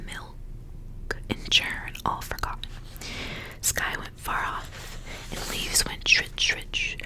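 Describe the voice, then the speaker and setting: Young woman whispering asmr, soft breathy reading voice, really intimate. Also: slow. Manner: soft, intimate narration with casual asides. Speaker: ASMR reader.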